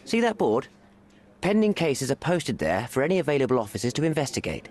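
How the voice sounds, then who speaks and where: An actor playing a talkative, wry police officer stuck on desk duty in a video game. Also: male voice, mid-range voice, sing-song, brisk, darkish tone.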